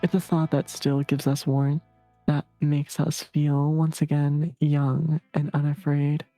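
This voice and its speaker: A woman's voice: low-pitched, level tone, slow pace, warm tone, dry recording, soft background music. A woman streamer chatting casually and reading game text aloud on a livestream.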